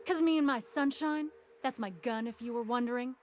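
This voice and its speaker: An actress playing a wary but easygoing station guard who talks in a drawling, folksy way. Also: female voice, fairly high pitch, fairly quick, lightly acted. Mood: wry.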